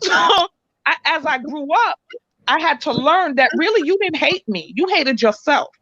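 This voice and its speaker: A woman's voice: high-pitched, animated, normal speed, pushed and bright, no room sound, lo-fi sound. Emphatic Jamaican-accented woman. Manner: emphatic, repeats "you understand what I'm saying". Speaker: guest. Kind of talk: casual radio chat.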